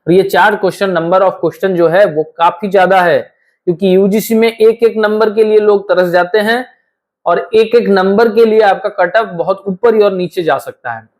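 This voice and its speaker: A man with a hoarse, sore throat, teaching an online exam-prep lecture and talking straight to his students, repeating key phrases slowly. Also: high-pitched. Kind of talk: online lecture with course promotion.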